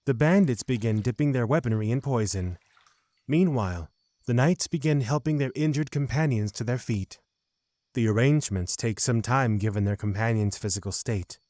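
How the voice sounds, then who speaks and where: A man's voice: mid-range voice, moderate pace, a little mellow, dry recording. Male narrator for fantasy audio drama: mid-range, dry studio-style sound, scripted storytelling.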